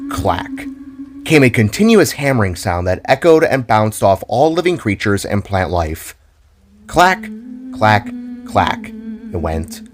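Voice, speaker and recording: A man's voice: on the low side, background noise. A man narrating a scripted dramatic story in a theatrical, storybook manner. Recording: music under the voice. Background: background music.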